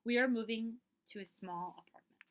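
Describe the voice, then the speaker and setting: A woman reading a children's picture book aloud as a librarian, in an expressive, measured storytelling way. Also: a little high.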